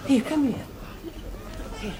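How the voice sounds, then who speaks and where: An actor with a London accent playing a cheeky, matey working-class bus driver in a broad sitcom. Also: male voice, takes it slowly, background noise, natural voice. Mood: hushed, concerned. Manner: teasing, colloquial, exclamatory.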